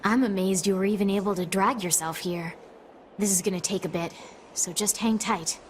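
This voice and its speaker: A woman's voice: medium pitch, steady tone, moderate pace, a little hiss or hum, close to an everyday voice. A woman playing a caring android mechanic in a video game, concerned and reassuring. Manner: soft-hearted, reassuring. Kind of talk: dramatic game acting.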